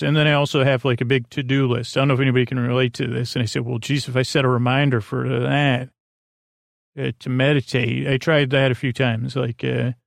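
A man hosting a sleep podcast with a meandering, goofy, halting monologue that drifts into tangents. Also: medium pitch, creaky, no echo, studio quality. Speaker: podcast host. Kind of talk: rambling bedtime monologue introducing a sleep podcast.

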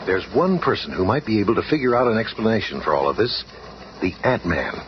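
Earnest scientist leader, lively intonation, radio play, noisy lo-fi recording. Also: male voice, lowish voice, fast. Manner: earnest, explanatory. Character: earnest, level-headed scientist superhero leader. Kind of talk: radio superhero drama acting.

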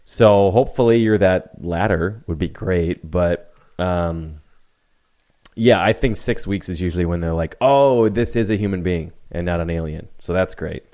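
Very low-voiced man hosting a chat. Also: normal speed, dark tone. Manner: laughing, joking, swears casually. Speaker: podcast host. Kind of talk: casual chat.

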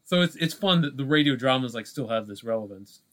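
A man co-hosting a podcast in casual chat, halting and full of filler phrases. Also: medium pitch, lively intonation, fairly bright tone. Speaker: podcast co-host.

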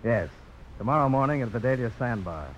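An actor playing a confident, daring frontier adventurer, firm and terse, in a 1950s radio drama. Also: male voice, medium pitch, expressive, brisk, lo-fi sound, loud music behind the voice, close to an everyday voice. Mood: composed. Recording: noisy old radio broadcast.

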